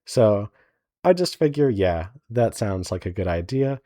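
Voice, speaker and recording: A man with a deep, low-pitched voice speaks in a very dry recording with no room sound. He sounds like an independent creator giving a casual progress update. His delivery is unscripted and meandering, as if working out his thoughts aloud. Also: very animated, a little slow, mellow, dry recording. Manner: rambling, thinking aloud with trailing hedges. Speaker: indie visual novel developer giving a devlog update. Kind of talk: casual unscripted devlog chat.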